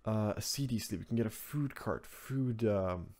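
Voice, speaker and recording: A man from Norway hosting a casual software tutorial in English as a second language, talking in a rambling, offhand way with informal asides. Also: on the low side, normal speed. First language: Norwegian. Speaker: tutorial host. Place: indoors at a computer, screen recording voice-over.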